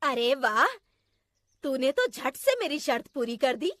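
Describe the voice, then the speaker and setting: A woman's voice: sits high, big pitch swings, unhurried, a bit bright, no room sound, clean studio sound, full character voice. A woman voicing a wicked, scheming forest witch with a taunting manner in a children's cartoon story. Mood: surprised. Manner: taunting, cackling.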